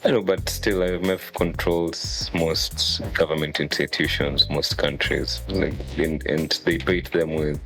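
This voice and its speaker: Deep-voiced guy on a podcast, laid-back, jumps in a lot, music in the back. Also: steady tone, measured pace, few pauses. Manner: casual, interjects often. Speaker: podcast co-host. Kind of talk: casual podcast chat.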